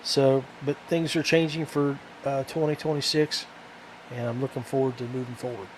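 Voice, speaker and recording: A man hosting a podcast alone in casual, rambling chat, often halting and repeating words. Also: mid-range voice, steady tone, low-quality recording. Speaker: podcast host. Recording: clear background noise.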